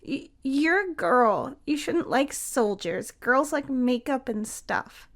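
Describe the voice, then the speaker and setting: Audio story role: woman as small boy, innocent and sad, high voice, stammering and earnest. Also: animated, takes it slowly. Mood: sulky, hesitant. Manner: hesitant, stammering.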